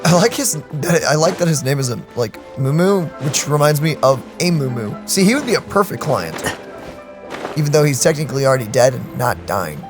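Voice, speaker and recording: Animated woman reacting to a game. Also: extremely low pitch, brisk, music in the background. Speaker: gaming channel co-host. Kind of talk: casual let's-play reaction commentary.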